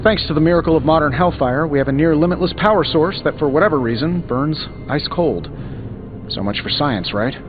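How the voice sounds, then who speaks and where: An actor with an American accent playing a cocky, witty billionaire inventor superhero in a video game, with glib, sardonic delivery. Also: male voice, mid-range voice, highs cut off, close to an everyday voice. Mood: wry. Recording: band-limited audio under game music.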